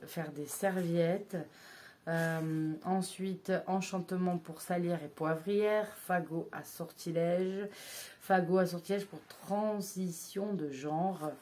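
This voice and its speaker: Woman vlogger, thirties, lowish voice, halting and full of fillers. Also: a little mellow, a little hiss or hum. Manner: hesitant, many filler sounds and restarts. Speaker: vlogger reviewing books. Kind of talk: casual chat to camera.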